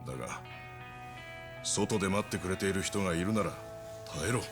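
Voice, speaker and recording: Actor as a rapid-fire stern man in a game drama. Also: low voice, lightly acted. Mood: stern, solemn. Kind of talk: Japanese video game voice acting. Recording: game clip under guitar music.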